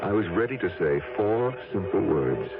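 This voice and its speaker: Low, gravelly, brooding scheming husband. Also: male voice, big pitch swings, normal speed, heavily acted. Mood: brooding narration. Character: scheming, simmering fortune-hunting husband, smooth and evasive. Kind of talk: 1970s radio drama acting. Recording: tinny 1970s radio broadcast, music behind.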